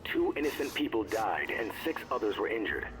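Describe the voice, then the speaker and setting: An actor playing a grave scientist who records a formal, measured personal log in a video game. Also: male voice, lowish voice, very animated, fairly bright tone, slight background noise, rough recording. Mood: grim, resolute. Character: grave male scientist recording his personal log, hardening against mutants. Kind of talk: audio log narration, video game acting.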